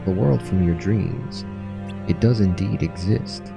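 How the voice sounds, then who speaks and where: Guy doing a stern mysterious old man from a video game, low and solemn, music behind. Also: unhurried, gravelly. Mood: solemn, cryptic. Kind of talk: reading game dialogue aloud.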